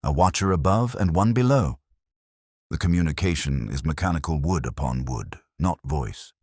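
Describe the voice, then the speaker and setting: A man voicing a precise, cool, watchful male detective in an audiobook story, speaking in a measured, analytical way. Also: extremely low pitch, expressive, a little mellow, no room sound, clean studio sound. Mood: hushed, alert.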